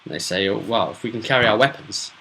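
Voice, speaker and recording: A man's voice: lowish voice, fast, vocal fry, bright, forceful tone, slight background noise, poor audio. A man casually recapping a tabletop role-playing campaign as game master, chatty, with emphatic asides. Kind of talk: casual solo recap narration.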